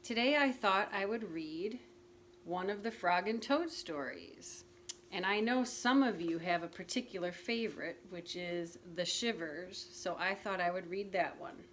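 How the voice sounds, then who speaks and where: A woman teacher reading a picture book aloud to young children, gentle and clear. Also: medium pitch, slight background noise. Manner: gentle, slow, clearly enunciated. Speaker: preschool teacher.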